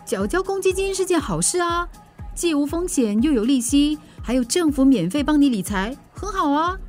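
An actress playing a friendly, caring and slightly nosy peacemaker in a radio drama, expressive and coaxing. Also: female voice, sits high, moderate pace, soft background music. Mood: reassuring.

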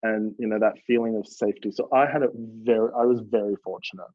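A man's voice: on the low side, fairly even intonation, fast, no room sound, narrow, tinny audio. A young Australian man talking about his life as a drag performer in an earnest, reflective way, with many fillers. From Melbourne, Australia. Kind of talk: casual podcast interview.

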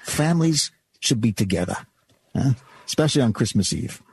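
Radio play role: folksy, good-hearted doorman, slightly high husky voice, lively swoops. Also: moderate pace. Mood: earnest. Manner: folksy, hesitant, reassuring. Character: kindly, folksy department store doorman. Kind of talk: old-time radio drama acting.

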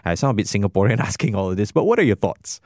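A man's voice: on the low side, animated, very fast, darkish tone, dry recording, clean studio sound. A man hosting a radio talk show, asking rambling, chatty questions with fillers. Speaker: radio host. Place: radio studio. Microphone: studio mic.

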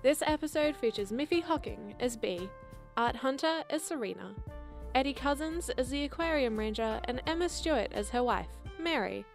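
A woman's voice: sits high, expressive, normal speed, vocal fry. A woman reading scripted narration and credits as the writer and producer of a show. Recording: music behind the voice. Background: music.

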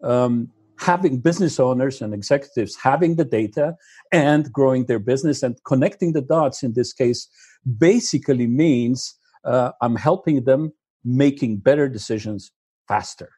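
A middle-aged man from Slovenia, speaking English as a second language, answering interview questions in a halting way with many fillers. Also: medium pitch, dark tone, breathy edge, no room sound. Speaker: business data consultant, guest.

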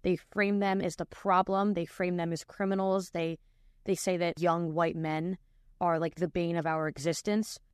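A woman's voice: lowish voice, little pitch movement, normal speed, clear, resonant tone, dry recording, studio quality. A woman hosting a podcast, giving casual reaction commentary in a chatty way with lots of fillers and restarts. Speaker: podcast host.

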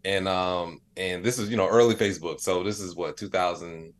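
Low-voiced American man, casual and laid-back. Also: fairly even intonation, moderate pace. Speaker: podcast guest, comedian and digital creator. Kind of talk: casual conversational interview answers.

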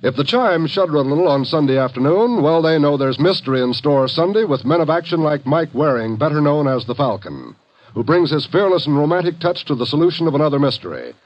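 A man's voice: on the high side, expressive, a little fast. A man reading a scripted network promo as a 1950s radio announcer. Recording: dull, dry vintage 1950 radio recording.

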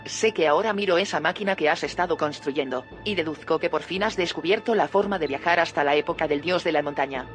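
A woman's voice: low-pitched, fast, hardly any pauses, soft background music, natural voice. An actress playing an earnest, probing woman in an audio drama, serious and inquisitive. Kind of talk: audio fiction drama acting.

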